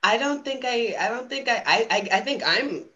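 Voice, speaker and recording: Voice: medium pitch, animated, moderate pace, bright, forceful tone, muffled recording. A woman reading a children's storybook casually, with playful, theatrical asides to the audience. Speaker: drag storytime guest reader. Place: video stream in front of a green screen.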